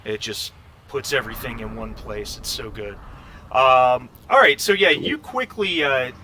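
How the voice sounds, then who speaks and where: Bright-voiced man running a tabletop game. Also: mid-range voice, expressive, a little slow, slight background noise. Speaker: game master. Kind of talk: casual tabletop game narration.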